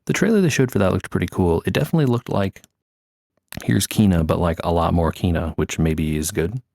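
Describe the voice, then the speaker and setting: A man with a very deep voice co-hosts a gaming podcast. He talks in a casual, conversational way. The sound is dry, with little room to it. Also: fairly quick, creaky, mellow, dry recording. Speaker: podcast co-host. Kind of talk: casual video game podcast chat.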